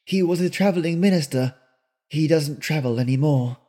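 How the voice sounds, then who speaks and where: A man reading aloud and voicing an old woman innkeeper who speaks softly and secretively. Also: on the high side, normal speed, lightly acted. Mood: hushed. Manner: soft, hushed.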